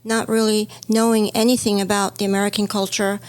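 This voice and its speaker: A woman's voice: medium pitch, level tone, a little fast, rough recording. Hong Kong-born woman telling her story. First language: Chinese. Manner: storytelling, warm reminiscing. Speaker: podcast co-host, stylist. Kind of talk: casual chat.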